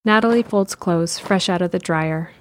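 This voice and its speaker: A woman's voice: on the low side, moderate pace, a little hiss or hum. A woman reading scripted narration for an audio drama. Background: faint music.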